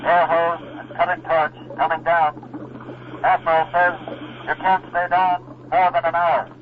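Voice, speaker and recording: An actor playing a dutiful Navy crewman in 1940s radio drama style, relaying orders in a crisp, formal way. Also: fairly high pitch, little pitch movement, a little slow, narrow, tinny audio, close to an everyday voice. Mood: formal, concerned. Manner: crisp, formal call-and-repeat. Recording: noisy, band-limited 1940s radio recording.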